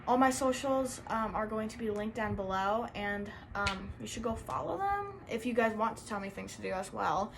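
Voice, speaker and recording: A woman's voice: fairly high pitch, animated, moderate pace, creaky, few pauses, background noise. A young woman vlogging in a casual, rambling way, filling her talk with "like" and "um". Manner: rambling, chatty, full of "like" and "um". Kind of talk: casual vlog chat.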